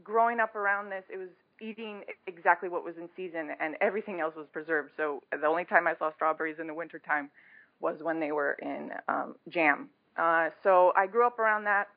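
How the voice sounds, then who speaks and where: A woman's voice: fairly low pitch, brisk. On a thin, phone-like connection with a dry, close sound, a woman from Romania speaks as an interview guest. She tells personal stories in a casual, conversational style. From born in Romania, moved to the United States aged seven. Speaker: podcast guest, small business co-founder. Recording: tinny, phone-like line with little echo.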